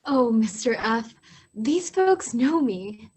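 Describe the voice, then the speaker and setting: A woman's voice: on the high side, lively intonation, takes it slowly, a little mellow, husky, heavily acted. A woman playing a bossy, jolly married hostess and mother in an amateur radio play. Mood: coy. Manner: commanding, matchmaking.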